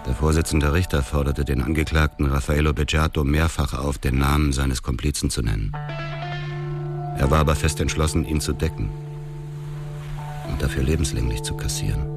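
An actor playing an embittered, grieving middle-aged widower, narrating a radio drama in a terse, restrained way. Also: male voice, a bass voice, vocal fry.

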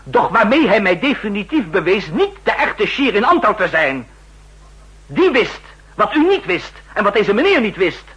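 An earnest young assistant. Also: male voice, high voice, big pitch swings, moderate pace, fairly bright tone, husky, dull audio, exaggerated voice. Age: young adult. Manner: earnest, insistent. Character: ambitious, loyal young male assistant. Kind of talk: 1960s radio drama acting.

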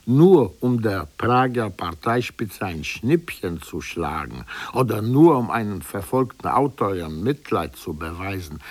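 Expressive man reading a literary essay. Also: on the low side, husky, no echo. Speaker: literary commentator. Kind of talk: prepared essay read aloud.

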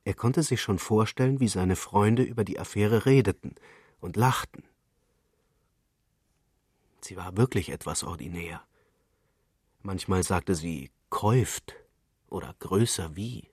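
A man's voice: deep voice, a little slow, pauses often, darkish tone, husky, no echo, studio quality. A man reading literary prose as a scripted narrator.

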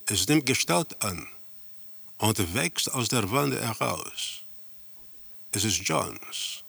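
A man playing an impassive narrator in a radio drama, reporting a surreal tale in deliberate, terse phrases. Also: fairly low pitch, expressive, creaky, pushed and bright, slightly rough, no room sound, exaggerated voice.

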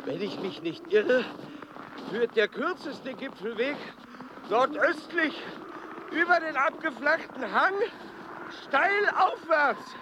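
An unflappable, pompous scientist on expedition in a radio play. His high voice swings widely yet takes its time, declaiming each point deliberately. Clear background noise surrounds him. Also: animated, full character voice. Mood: eager, resolute. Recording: noisy outdoor sound effects behind.

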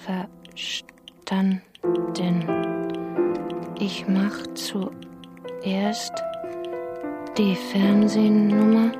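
A subdued woman, extremely slow and utterly flat in intonation, halting yet resolute. Loud music plays behind her. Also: mid-range voice. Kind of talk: German radio drama acting.

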